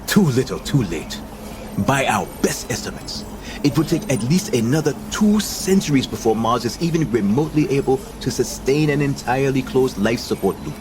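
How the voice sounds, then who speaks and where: An actor playing a cynical, world-weary visionary leader in a science fiction audio drama, theatrical and dismissive. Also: male voice, mid-range voice, lively intonation, normal speed, background noise, natural voice.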